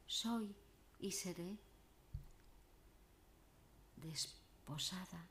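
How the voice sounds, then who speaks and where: Woman reciting as a serene, slow-spoken water nymph. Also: mid-range voice, darkish tone, reverberant, a little hiss or hum, close to an everyday voice. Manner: courtly, measured declamation. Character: gracious female water nymph, serene and steadfast.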